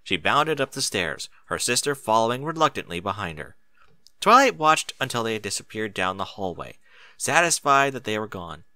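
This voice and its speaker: Lively bright-voiced male story narrator. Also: lowish voice, normal speed, dry recording. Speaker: audiobook narrator.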